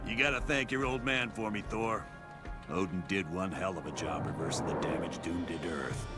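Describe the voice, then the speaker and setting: Deep gruff military boss guy voiced by a man, blunt no-nonsense game cutscene talk, music behind. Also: normal speed, natural voice. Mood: gruff, frank. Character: gruff, commanding male spy agency director and colonel. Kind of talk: video game cutscene acting.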